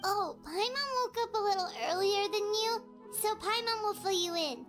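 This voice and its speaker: Squeaky, extremely high-pitched tiny fairy companion. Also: female voice, normal speed. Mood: chatty, explaining. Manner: talks of self in third person, childlike. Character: tiny childlike female fairy companion, chirpy and chatty. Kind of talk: cartoonish video game voice acting. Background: playful game music.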